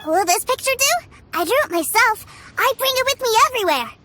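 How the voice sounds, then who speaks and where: A woman voicing an innocent, excitable little boy in a video game, childlike and earnest. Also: very high-pitched, fairly quick, a little hiss or hum, full character voice. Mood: excited wonder. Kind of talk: scripted video game character acting. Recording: music behind the voice. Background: game music.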